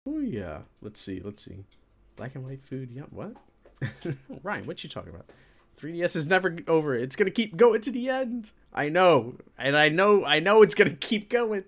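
A man in his thirties hosts a livestream in a loose, colloquial style. His voice sits at a middle pitch. He talks casually to his viewers and reacts to them in an animated way. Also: moderate pace. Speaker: livestream host. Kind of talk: casual chat with live viewers. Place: indoor room with green screen.

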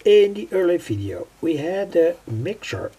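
Slow, creaky older man explaining. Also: high voice, sing-song, warm tone. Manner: halting, deliberate, repeating phrases. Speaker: electronics hobbyist presenter. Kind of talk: unscripted hands-on tutorial explanation. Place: indoors at a home workbench. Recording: clearly noisy home recording.

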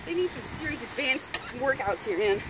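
A woman's voice: high-pitched, animated, moderate pace. A young woman at an outdoor playground, joking and swearing while she climbs the equipment, recorded on a phone. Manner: swearing, self-mocking, laughing between lines. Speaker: playground challenge participant. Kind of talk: casual banter while climbing. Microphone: phone camera held by someone else. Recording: noisy outdoor phone audio.

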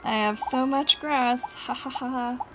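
A woman's voice: high-pitched, fairly even intonation, unhurried, background noise. A woman game streamer giving casual, playful commentary, exclaiming at the game and reading bits of its dialogue aloud.

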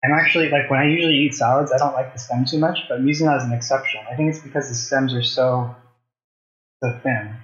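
A man's voice: medium pitch, fairly even intonation, fast talker, a bit bright. A man in his thirties hosting a casual cooking demonstration, talking conversationally to viewers as he works. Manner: conversational, asks viewers questions while working. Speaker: cooking show host. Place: home kitchen, indoors. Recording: slightly dull, roomy kitchen sound.

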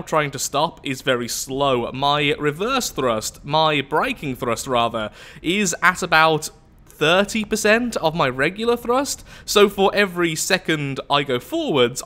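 A man's voice: fairly high pitch, bright, forceful tone. A young man with a flu-stuffed, nasal voice, chatting casually and poking fun at himself while commentating a space game. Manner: chatty, self-mocking, thinking aloud. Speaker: gameplay commentator. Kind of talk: let's play commentary.

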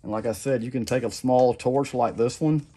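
Easygoing middle-aged guy talking through a hobby craft at his bench, mellow voice, keeps saying "like i said". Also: mid-range voice, moderate pace. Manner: rambling, repeats "like I said", relaxed and plain-spoken. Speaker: custom lure maker. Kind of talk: casual how-to demonstration. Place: home workbench, indoors.

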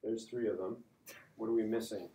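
A man's voice: fairly low pitch, steady tone, brisk, dark tone, slight background noise. A male university lecturer giving a classroom lecture, conversational, often checking in with "right?" and restarting phrases. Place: large lecture hall. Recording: echoey lecture hall.

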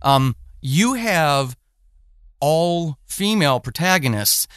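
A middle-aged man speaks as a podcast host in a conversational interview. His voice sits a little high for a man and the sound is dry. He phrases things in a wordy, hesitant, circling way. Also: lively intonation, slow pace, fairly bright tone, smooth, no echo.

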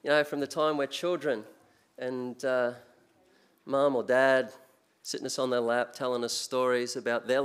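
An older Australian man preaching at a church pulpit into a mic. His delivery is relaxed and conversational, full of small restarts and fillers. His pitch sits in the middle range. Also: smooth. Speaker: guest preacher. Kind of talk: sermon. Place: church sanctuary, speaking at a pulpit.

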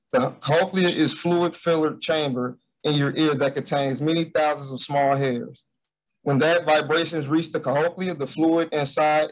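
A man's voice: on the high side, poor audio. A man giving a loose, hesitant student project presentation over a video call, with frequent restarts. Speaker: bootcamp student presenting a capstone.

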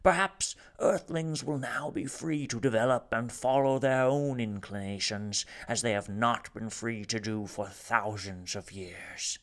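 A calm aristocratic gentleman, as a man puts him on, with a glottal tenor voice. He speaks slowly and deliberately, at peace with himself. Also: medium pitch.

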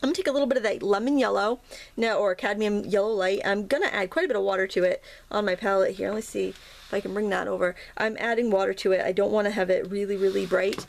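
A woman teaching a watercolor painting tutorial in a chatty, casual way, with many ums and restarts and a slightly hoarse morning throat. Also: medium pitch, fast talker. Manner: chatty, self-interrupting, frequent ums and restarts. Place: indoors at a painting table.

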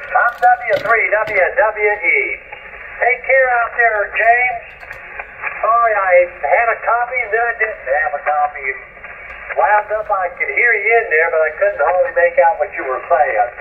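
High-voiced man on thin crackly shortwave. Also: measured pace, phone-quality sound. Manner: folksy, colorful radio patter. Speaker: amateur radio operator. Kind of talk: casual two-way radio chat. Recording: thin shortwave radio with static hiss.

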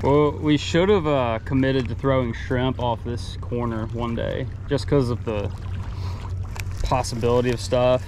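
A man's voice: medium pitch, unhurried. A young man from Texas talking casually with lots of fillers, filming his own fishing trip on a seaside jetty with a body-worn camera. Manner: chatty, relaxed, many fillers. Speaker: fishing vlogger. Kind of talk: casual fishing vlog commentary. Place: outdoors on a rock jetty by the sea. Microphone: action camera on body. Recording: outdoor wind and water noise. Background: wind, water and boat noise.

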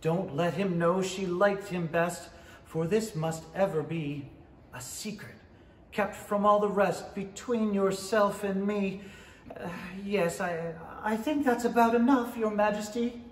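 A man's voice: high voice, a little slow. A middle-aged man playing a nervous, deferential rabbit herald in a 1930s-style radio play, hesitant and stammering. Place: indoor stage in a small theatre. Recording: roomy, echoing stage pickup.

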